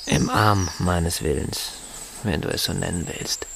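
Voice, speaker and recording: An actor in a German radio drama playing a bitter, sardonic husband in a wheelchair who lectures and rationalizes with emphasis. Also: male voice, sits low, measured pace, gravelly, background noise. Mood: cool, resigned.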